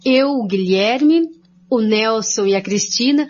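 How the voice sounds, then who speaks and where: A woman reads a formal script as co-presenter of a study programme. Her voice sits a little high, and the recording sounds dull and lo-fi. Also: slow pace, darkish tone.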